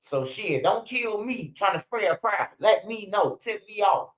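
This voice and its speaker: A man's voice: high-pitched, expressive, measured pace, fairly bright tone. A man, hosting a livestream and telling street stories in a blunt, profane, casual way, dropping "bro" and "man" often. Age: 40s. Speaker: host of a street news channel.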